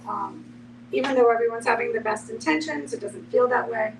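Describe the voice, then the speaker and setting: A woman with a slightly high voice talks through ideas in an explanatory, reflective way, peppered with "you know". She sounds a bit away from the microphone, with clear background noise and hum. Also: fairly quick, recorded from a distance. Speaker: podcast panelist. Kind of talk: reflective group discussion.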